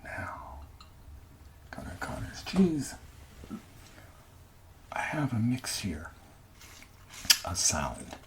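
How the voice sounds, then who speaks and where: Low, slow, whispery man doing cooking ASMR. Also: wildly swooping pitch. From Canada. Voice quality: soft, breathy, often dropping to a whisper. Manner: gentle, unhurried, talking through each step. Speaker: amateur ASMR cooking host. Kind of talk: soft-spoken ASMR cooking narration.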